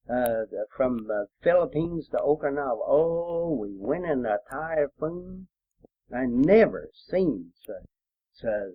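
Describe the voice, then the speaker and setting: A man's voice: a little high, no room sound. An older man, a folksy veteran reminiscing in a rambling, casual way on a muffled home recording. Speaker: war veteran storyteller. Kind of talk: casual reminiscing with old folk song.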